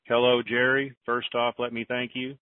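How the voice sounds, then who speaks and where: A man's voice: mid-range voice, little pitch movement, normal speed, clean tone, dry recording. A man hosting a conference call and answering questions casually, in a blunt, matter-of-fact way. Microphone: phone conference call. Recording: narrow tinny phone line.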